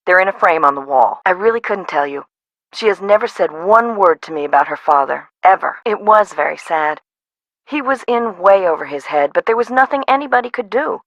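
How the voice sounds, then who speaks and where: A woman's voice: lowish voice, lively intonation, brisk, fairly bright tone, dry recording. An actress voicing a frazzled, no-nonsense woman who runs an amusement park in a video game, conversational and dry. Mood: matter-of-fact, regretful. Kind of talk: scripted video game voice acting.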